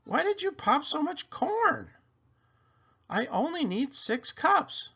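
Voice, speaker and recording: A man's voice: very high voice, very animated, slow, creaky, sharp tone, muffled recording, voice pushed up, full character voice. A man voicing a busy, instructing lady of the house in an emphatic storybook style. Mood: exasperated.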